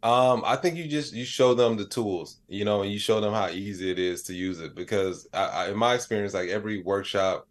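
Low-voiced man in his late thirties, casual. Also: a little fast, hardly any pauses. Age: late 30s. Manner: colloquial, plain-spoken, acts out little imagined dialogues. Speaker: interview guest, AI educator and comedian. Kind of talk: casual conversational interview answers.

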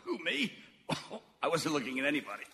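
A man's voice: on the high side, huge pitch swings, normal speed, sharp tone, a little hiss or hum, heavily acted. A man playing a nervous, sheepish radio personality in a comic live radio play, with a hesitant, stammering delivery. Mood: nervous, choking. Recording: echoey live theatre hall.

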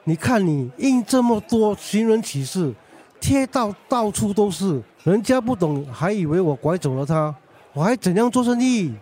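A short-tempered coffee shop guy, high voice, snappy and sarcastic, radio play. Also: expressive, moderate pace, dark tone. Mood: irritated, scolding. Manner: snappish, scolding. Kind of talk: radio drama acting.

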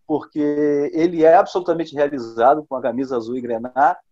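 A man reflects on football in a measured, thoughtful way, with frequent hesitations. His voice sits a little high, and the recording sounds somewhat dull. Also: fairly even intonation, warm tone, faint music behind. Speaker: football commentator. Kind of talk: podcast discussion.

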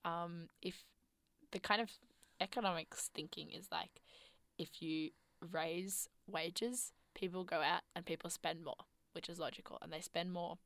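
A woman's voice: on the low side, fairly even intonation, measured pace, gravelly, fairly bright tone, no room sound. A young woman co-hosting a podcast in casual chat, thoughtful and hedging, with a lingering cough. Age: young adult. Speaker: podcast co-host.

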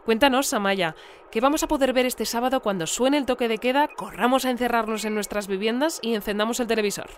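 An actress playing a composed, formal presenter of a news bulletin in an audio drama. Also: female voice, on the high side, fast talker, slight background noise, close to an everyday voice. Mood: composed, informative. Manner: composed, formal, clearly scripted.

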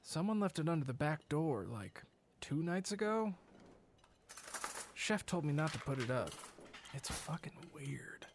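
Weary, easygoing cafe worker, played by a woman: deep-toned, casual, slightly unsure, naturalistic drama delivery. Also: very animated, unhurried, pauses often, slight background noise, exaggerated voice. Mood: hesitant, wary. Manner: casual, hesitant. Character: laid-back, hesitant cafe worker, obliging but weary. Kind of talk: naturalistic audio drama dialogue.

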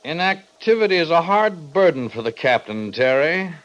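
An American actor playing a firm, by-the-book army major, an authoritative older officer in a 1950s radio western. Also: male voice, on the high side, big pitch swings, fairly bright tone. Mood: genial. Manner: authoritative, measured, military. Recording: dull lo-fi 1950s radio recording.

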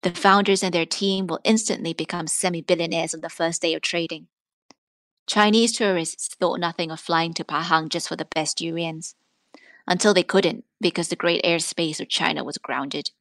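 Female reader, slightly low register, articulate and measured delivery of written prose, very little room sound. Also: fairly even intonation, a bit bright, no echo. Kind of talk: scripted literary reading.

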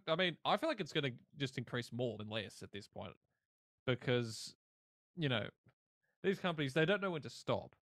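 Male panelist, mid-pitched, very dry close sound, loose conversational explanations. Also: a bit bright, dry recording. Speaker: podcast panelist. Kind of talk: casual discussion.

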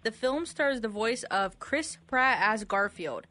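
Woman on radio reading out trivia, kinda high voice, chatty quiz host style. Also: lively intonation, slow, sharp tone. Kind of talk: reading trivia questions with casual banter.

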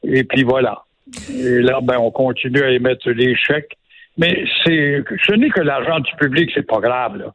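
A man's voice: medium pitch, moderate pace, fairly bright tone, no echo. A man with a Quebec French accent giving sarcastic, indignant commentary on a radio show. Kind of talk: opinionated radio chat. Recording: phone-line radio audio.

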